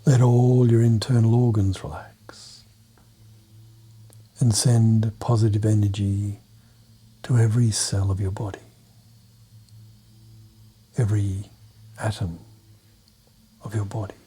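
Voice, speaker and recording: Slow, low, soft-spoken male meditation guide. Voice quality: soft, breathy, half-whispered. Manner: calm, gentle, soothing, with long pauses between short phrases.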